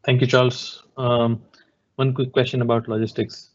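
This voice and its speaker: Man moderating, mid-pitched, slightly dull audio. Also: little pitch movement, moderate pace. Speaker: moderator relaying questions. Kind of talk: online Q&A moderation.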